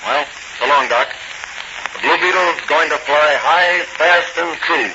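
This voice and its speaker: An actor playing a self-assured masked crime fighter in a 1940s radio serial, earnest and declamatory. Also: male voice, on the high side, a little slow, lightly acted. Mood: triumphant resolve. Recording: noisy, muffled 1940s radio recording.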